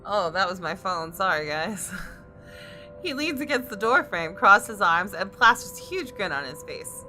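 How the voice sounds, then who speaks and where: A woman gaming creator reading visual novel narration aloud, with expressive reactions. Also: mid-range voice, normal speed, faint music behind. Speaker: gaming YouTuber.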